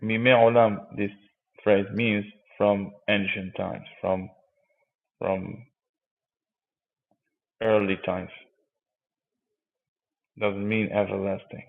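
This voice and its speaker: Gravelly low man on a tinny line. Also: flat delivery, drawn-out words, long pauses. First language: Hebrew. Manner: insistent, interrupts with repeated "wait". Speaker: debater. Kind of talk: heated debate. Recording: tinny phone-line audio.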